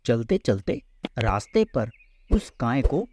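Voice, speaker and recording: A man's voice: medium pitch, very animated, slow pace, heavy background noise. A man narrating a scripted children's story as a storyteller in a close voice-over.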